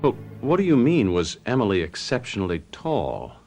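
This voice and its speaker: Nervous guilty husband, radio mystery, low voice, really up and down, defensive. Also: male voice, wildly swooping pitch, moderate pace, music in the background. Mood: flustered, defensive. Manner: hesitant and defensive under questioning, stammering. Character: guilty, nervous husband who murdered his wife. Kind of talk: radio mystery drama acting and narration.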